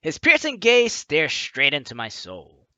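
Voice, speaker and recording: A man's voice: on the high side, wildly swooping pitch, unhurried, pushed and bright, no echo, dull audio. A man reading a story game aloud as a let's player, with dramatic narration and casual asides. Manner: dramatic reading, slipping into offhand comments.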